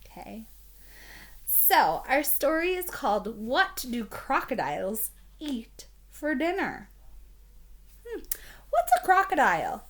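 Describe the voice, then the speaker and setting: Female primary teacher for a children's read-aloud: higher-pitched, lively intonation, explains simply and puts questions to the listener. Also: slow, pauses often. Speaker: elementary school teacher.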